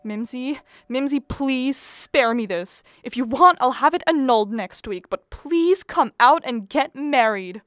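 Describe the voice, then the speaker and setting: A woman's voice: high voice, lively intonation, unhurried, few pauses, fairly bright tone, no room sound. Woman acting a harassed, nervous mother of the bride. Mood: panicked. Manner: pleading, exasperated. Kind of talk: radio play acting.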